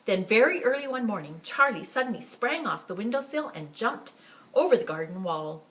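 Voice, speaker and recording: A woman reading a picture book aloud to children as a library storyteller, with expressive, emphatic phrasing and chatty asides. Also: a little high, normal speed, slight background noise, rough recording. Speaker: story time reader for a school library.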